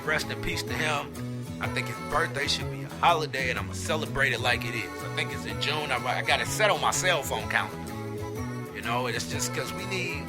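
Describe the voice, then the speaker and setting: A man hosting a podcast, giving a blunt, impassioned opinion monologue and swearing freely. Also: on the high side. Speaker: podcast host. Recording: roomy with hip hop music behind.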